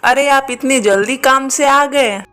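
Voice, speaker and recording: Woman as a higher-voiced, concerned village wife. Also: measured pace, a bit bright. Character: concerned, practical village wife of poor seller.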